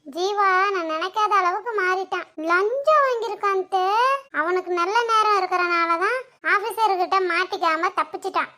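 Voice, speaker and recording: A performer voicing an excitable, whiny young daughter-in-law with a Tamil accent in a comic cartoon soap parody. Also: extremely high pitch, normal speed. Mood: complaining.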